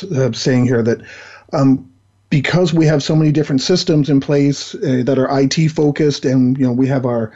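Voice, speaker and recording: A man hosting an interview, asking halting questions while losing his voice. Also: mid-range voice, warm tone, dull audio. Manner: halting, asks questions with restarts. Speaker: podcast host.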